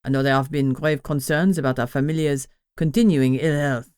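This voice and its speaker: A woman voicing a pompous male witch leader who speaks with a thick French accent and a deep voice. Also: fairly even intonation, fairly quick, a little mellow, clear, resonant tone, dry recording, studio quality, close to an everyday voice. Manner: pontificating, sinister.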